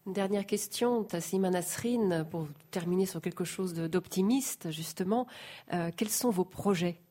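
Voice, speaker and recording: A woman's voice: lowish voice, normal speed, warm tone. A woman interviewer asking long, formal questions full of small hesitations.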